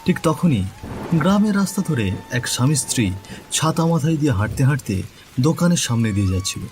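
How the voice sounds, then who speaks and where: A man's voice: on the high side, very animated, a little fast, darkish tone. A man narrating a scripted cartoon story as its storyteller. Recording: faint background noise.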